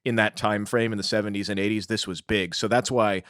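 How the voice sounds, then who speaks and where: A young man with an American accent explains pop culture in an easy podcast chat. His pitch is slightly low and the recording is dry, with little room sound. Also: steady tone, fairly quick, fairly bright tone, no room sound. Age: young adult. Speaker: podcast co-host.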